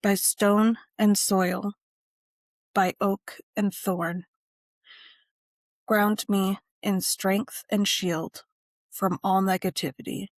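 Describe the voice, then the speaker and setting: A woman narrating a scripted guided meditation and ritual in measured, deliberate phrases with frequent pauses. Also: mid-range voice, fairly even intonation, dry recording.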